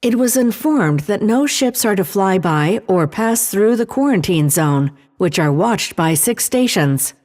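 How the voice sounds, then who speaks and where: A woman's voice: medium pitch, animated, measured pace, hardly any pauses, darkish tone. A woman voicing a polite, literal shipboard AI assistant in a sci-fi audio drama, formal and helpful. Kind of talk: full-cast sci-fi audio drama acting.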